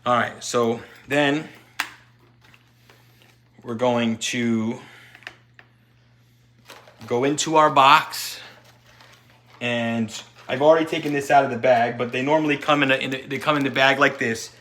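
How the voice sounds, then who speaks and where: A man's voice: mid-range voice, steady tone, measured pace, long pauses, bright, forceful tone. A man giving an unscripted step-by-step installation tutorial, talking as he works with his hands. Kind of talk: hands-on how-to demonstration, unscripted.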